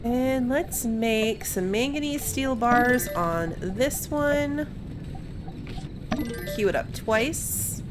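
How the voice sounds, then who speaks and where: A woman's voice: a little high, drawn-out words. A woman giving casual let's play commentary, thinking aloud as she plays. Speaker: gaming video host. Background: game music.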